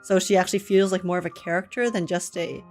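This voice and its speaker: A woman's voice: fairly low pitch, fairly even intonation, quick pace. A woman live streamer giving casual, chatty, giggly commentary on a game. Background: game music.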